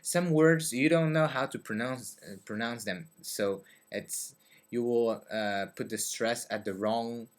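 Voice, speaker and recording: A man's voice: mid-range voice, measured pace. A young man from Belgium, talking to his webcam in a dim bedroom, hesitant with many fillers. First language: French. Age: young adult. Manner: hesitant, many fillers and restarts, gestures with hands. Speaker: vlogger. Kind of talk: casual talk to camera about learning languages. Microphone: webcam at desk.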